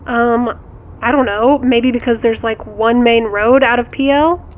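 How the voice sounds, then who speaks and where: A woman's voice: on the high side, fairly even intonation, measured pace. A woman acting in an audio drama as a worried, blunt friend, heard through a phone call filter. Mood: evasive. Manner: blunt, exasperated, concerned. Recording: muffled phone call, faint car noise.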